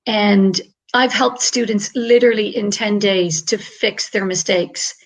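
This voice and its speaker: A woman's voice: medium pitch, fairly even intonation, measured pace, low-quality recording. A woman from Ireland, an English tutor talking on a video call in a chatty, upbeat way with many fillers. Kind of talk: online panel talk.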